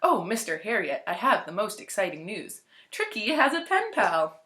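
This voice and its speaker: A woman's voice: mid-range voice, sing-song, moderate pace, fairly bright tone, reverberant. A woman voicing a gushing, fretful, wealthy elderly widow who dotes on her dog, with dramatic, effusive delivery in a storybook reading. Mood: excited. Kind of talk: storybook read-aloud character voice.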